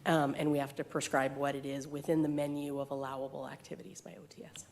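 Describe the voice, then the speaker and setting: A woman's voice: extremely low pitch, level tone, fast, gravelly, a little mellow, slight background noise. A woman on city staff answering a committee member's question in a matter-of-fact, precise way at a public meeting. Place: council chamber.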